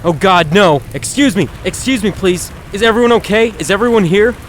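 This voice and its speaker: A man's voice: high-pitched, expressive, brisk. A man playing an earnest young vigilante hero in a radio drama, speaking with urgent, dramatic intensity. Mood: alarmed. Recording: noisy rain and traffic bed.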